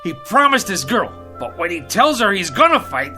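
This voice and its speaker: An actor playing an eager, pushy, luckless would-be writer, with an American accent and slangy, wheedling patter, in a comic drama. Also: male voice, high-pitched, sing-song, moderate pace, bright, forceful tone, soft background music, exaggerated voice. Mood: eager pitching. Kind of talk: comic television drama acting.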